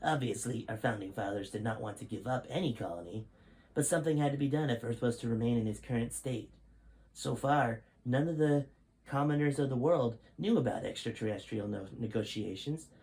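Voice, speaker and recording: A man's voice: mid-range voice, a little fast, darkish tone, natural voice, low-quality recording. A man reading the part of a stern, grave male five-star general who lectures in a serious tone. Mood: lecturing.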